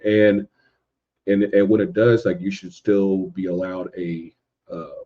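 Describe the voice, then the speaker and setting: A man with a deep voice talks casually in a podcast discussion. He speaks haltingly, restarting and repeating words as he thinks. The recording is dull and lo-fi. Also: flat delivery. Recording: dull, lo-fi microphone sound.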